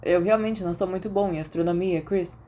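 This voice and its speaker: A woman's voice: fairly low pitch, a little fast, dark tone, lightly acted. A woman voicing a reserved, bookish teenage schoolboy in an audiobook, reluctant and dismissive. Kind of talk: audiobook character acting.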